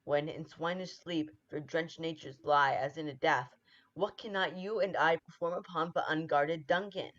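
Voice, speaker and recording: A woman playing a ruthless, scheming noble lady who drives her husband on, in 1940s radio-play style, with urgent forceful delivery. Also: sits low, fairly even intonation, normal speed, natural voice. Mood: scornful. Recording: dull lo-fi sound.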